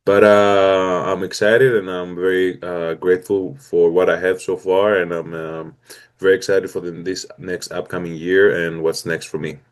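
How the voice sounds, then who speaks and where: Deep-voiced Greek man in his twenties. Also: flat delivery, moderate pace. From Greece. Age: 20s. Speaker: guest athlete. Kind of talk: casual podcast interview.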